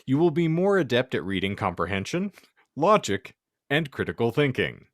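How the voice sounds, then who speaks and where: A man's voice: medium pitch, sing-song, normal speed, slight background noise. A man hosting a casual podcast with a theatrical, mock-solemn turn of phrase. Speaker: podcast host. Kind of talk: casual banter and hypothetical read-out.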